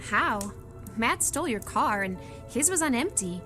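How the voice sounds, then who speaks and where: A woman's voice: fairly high pitch, big pitch swings, measured pace, sharp tone, soft background music, full character voice. A woman playing a blunt, inquisitive young pregnant woman in an audio drama. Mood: frank, resigned.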